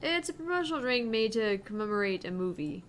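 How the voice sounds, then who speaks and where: A woman's voice: on the high side, sing-song, a little fast, fairly bright tone, slight background noise. A young woman hosting a visual novel let's play, reading dialogue aloud and chatting in a casual, joking way. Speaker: gaming channel host.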